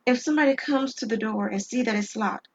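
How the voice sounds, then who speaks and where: A woman's voice: a little high, brisk, lo-fi sound, a little hiss or hum, natural voice. A woman playing a nervous but bold store cashier, speaking cautiously in an audio drama. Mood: nervous, careful. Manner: cautious, questioning.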